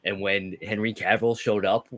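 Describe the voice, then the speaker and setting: A man's voice: lowish voice, level tone, moderate pace, a bit bright, dry recording. A man sharing opinions as a panelist in a casual movie discussion. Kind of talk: casual chat, giving opinions.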